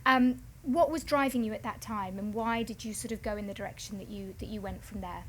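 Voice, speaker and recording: A woman's voice: medium pitch, a little fast, a bit bright. A woman interviewing a guest on stage, rephrasing her questions as she goes. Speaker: interviewer, talk host. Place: auditorium stage before an audience. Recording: live stage talk, faint noise.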